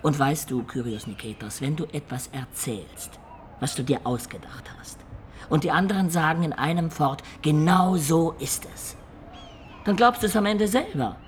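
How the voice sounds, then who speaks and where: A male actor plays an imaginative storyteller of peasant birth, recalling his life in a radio drama. His slightly high man's voice is reflective and expressive, with noticeable ambient noise behind it. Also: moderate pace, lightly acted. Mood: confessional. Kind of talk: German radio play, reminiscing narration.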